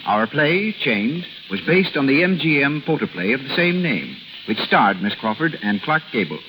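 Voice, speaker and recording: A man's voice: mid-range voice, sing-song, normal speed, sharp tone, a little hiss or hum, rough recording. A man with an American accent working as a radio announcer, reading a script formally in 1930s broadcast style.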